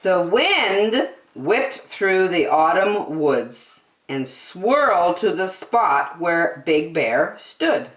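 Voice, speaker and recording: Slow, animated woman reading a storybook. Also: on the low side. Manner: expressive, deliberate storytelling. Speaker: children's story reader. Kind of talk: storybook read-aloud. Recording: roomy, echoing sound.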